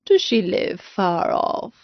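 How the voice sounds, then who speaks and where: A woman's voice: mid-range voice, big pitch swings, takes it slowly, no echo, lo-fi sound. A woman voicing a sly wicked wolf. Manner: sly, fakes a sweet voice. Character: sly hungry male wolf, wicked and scheming.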